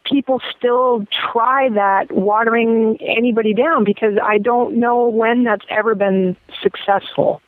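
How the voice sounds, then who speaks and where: A woman's voice: fairly high pitch, fairly even intonation, measured pace, few pauses, no room sound. A woman co-hosting a podcast, chatting casually with a guest. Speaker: podcast co-host. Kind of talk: casual chat. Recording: thin phone-like call audio, dry.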